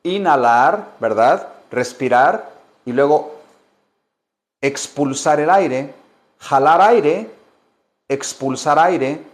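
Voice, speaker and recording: A man teaching English, switching between languages and repeating each phrase slowly for learners. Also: on the high side, lively intonation. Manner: slow, deliberate drilling with many repetitions. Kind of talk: bilingual language lesson.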